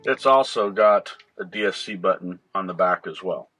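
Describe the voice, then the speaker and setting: Low-pitched middle-aged North American man explaining. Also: normal speed, sharp tone, faint music behind. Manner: explanatory, conversational. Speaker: boating video host. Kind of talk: informal instructional vlog. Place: inside a small boat cabin at the helm.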